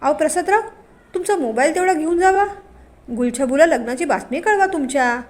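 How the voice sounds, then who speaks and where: A woman voicing a domineering older village chief who talks in a loud, commanding and mocking way. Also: high voice, lively intonation, a little fast, pitched above the performer's own voice.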